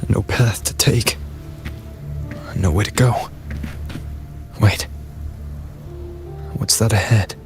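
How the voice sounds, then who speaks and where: A man playing a weary, steadfast wanderer hero in a video game, speaking in a quiet, halting way. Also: on the low side, music in the background. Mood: lost, uneasy. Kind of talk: understated video game acting.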